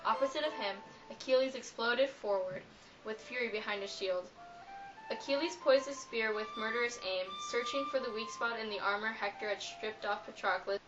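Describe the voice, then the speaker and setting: Higher-voiced woman narrator, dull lo-fi sound. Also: moderate pace. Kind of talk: scripted storytelling narration. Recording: dull lo-fi sound, music behind.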